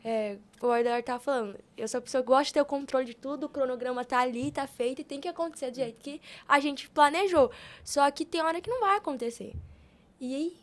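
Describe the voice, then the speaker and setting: A woman's voice: high voice, fast. A young woman in casual conversation, speaking earnestly and colloquially, repeating phrases for emphasis in a motivational way. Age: young adult. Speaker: podcast guest.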